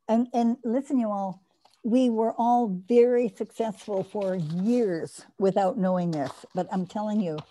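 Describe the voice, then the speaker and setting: A woman's voice: mid-range voice, warm tone. An older woman hosting an online course on a video call, explaining eagerly and restarting her sentences often. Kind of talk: teaching and taking questions on a video call.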